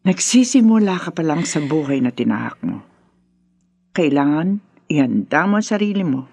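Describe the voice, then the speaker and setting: An older Filipino grandmother in a radio drama, her low voice swinging expressively through every line. She is generous and insistent, a mother used to having her way, heard close and dry. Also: very animated, moderate pace, gravelly, mellow, husky, no room sound, exaggerated voice. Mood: caring, firm.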